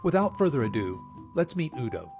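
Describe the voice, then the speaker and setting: A man's voice: mid-range voice, sing-song, brisk, vocal fry. Polished man, podcast host, scripted intro delivery, direct address to listeners, light background music.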